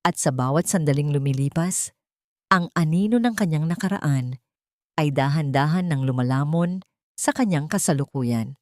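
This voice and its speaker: A woman telling a dramatic love story in scripted narration. Her voice sits low and her reading is measured and steady. The recording is close and dry. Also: no room sound.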